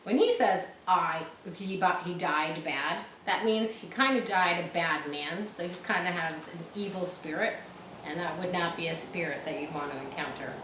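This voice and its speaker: Woman storyteller, lowish voice, explanatory asides, echoey room, faint noise. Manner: explanatory, checking understanding, pausing to clarify words. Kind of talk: read-aloud storytelling with teaching asides.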